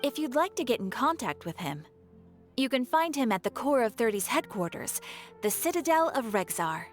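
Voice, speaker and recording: An actress playing a polite guild receptionist in a video game, speaking in a formal, measured, courteous way. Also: female voice, on the high side, big pitch swings, soft background music. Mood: helpful, composed.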